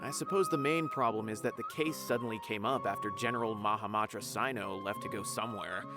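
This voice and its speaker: A man's voice: on the low side, brisk, loud music behind the voice, lightly acted. An actor playing a polite, earnest male guard official in a game, formal and explanatory. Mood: apologetic, earnest.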